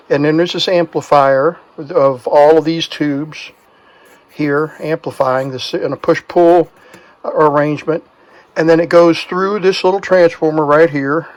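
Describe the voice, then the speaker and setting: Guy explaining electronics repair stuff step by step, kinda higher voice, casual and plain. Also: no room sound, rough recording. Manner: plainspoken, talks through each step. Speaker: host, electronics repair hobbyist. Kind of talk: hands-on demonstration and explanation, casual.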